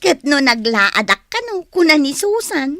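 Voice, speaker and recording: A woman's voice: sits high, very animated, measured pace, pushed and bright, dry recording, heavily acted. A woman playing a giggly, sheepish neighbour with a hesitant drawl in a Philippine radio comedy-drama. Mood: puzzled.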